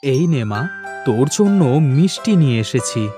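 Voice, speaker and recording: A man's voice: medium pitch, sing-song, unhurried, over music. A man voicing a meek, put-upon poor labourer and doting father in a cartoon, often pleading. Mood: fond. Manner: pleading, hesitant with superiors. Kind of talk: cartoon voice acting.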